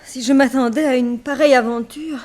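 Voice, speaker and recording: A woman's voice: high voice, steady tone, a little fast, darkish tone, close to an everyday voice. An actress playing a weary, scheming mother of a grown son in a 1960s French radio drama. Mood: resigned. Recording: old radio recording with hiss.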